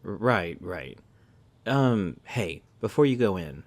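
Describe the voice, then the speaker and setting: Man acting a low-voiced, uneasy priest's colleague. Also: sing-song, full character voice. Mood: uneasy concern. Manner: halting, hesitant, uneasy. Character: shaken, uneasy male colleague of a young priest. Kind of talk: audio drama acting.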